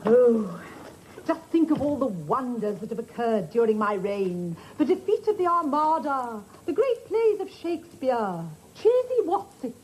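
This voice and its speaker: A woman's voice: a little high, sing-song, unhurried, darkish tone, low-quality recording. A middle-aged woman in a sitcom role, talking in a theatrical, playfully mock-regal manner. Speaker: sitcom lead. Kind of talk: 1980s British sitcom acting. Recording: studio audience laughter and noise.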